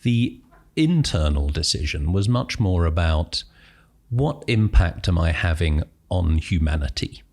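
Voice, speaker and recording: A middle-aged British man from Northern Ireland, speaking with a very low voice in a podcast interview. He talks thoughtfully and haltingly, with frequent ums and restarts. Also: animated, a little mellow. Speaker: guest, impact investor.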